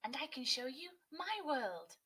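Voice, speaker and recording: A woman voicing a curious, excitable female kingfisher in a children's storybook, with eager, exclaiming questions. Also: sits high, unhurried, husky, voice pushed up, heavily acted. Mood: amazed, eager.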